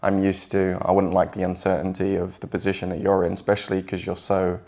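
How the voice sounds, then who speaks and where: An English man talking casually with his partner, relaxed and hesitant, with many fillers. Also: low voice, a little fast. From England. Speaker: guest partner. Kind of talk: casual chat.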